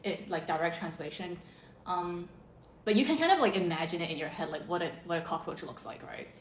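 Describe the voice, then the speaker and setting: A woman with a naturally deep voice tells a story in a loose, chatty way, full of fillers. Her voice sits low, and the room around her gives back a clear echo. A faint hum of ambience runs underneath. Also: animated, brisk. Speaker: co-host of a beauty channel talk. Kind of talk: casual chat.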